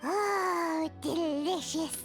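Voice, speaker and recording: Squeaky, tiny, excitable fairy girl. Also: very slow, faint music behind, exaggerated voice. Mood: delighted. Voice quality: squeaky, childlike. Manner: exuberant, speaks of herself in third person. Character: small excitable childlike fairy companion girl. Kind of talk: animated game character acting.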